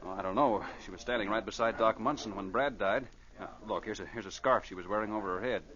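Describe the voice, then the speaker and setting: A man's voice: on the low side, fast, fairly bright tone, lightly acted. An actor playing a downcast but helpful townsman in an old-time radio drama. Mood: subdued. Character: downcast, helpful man bringing bad news. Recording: muffled old radio recording.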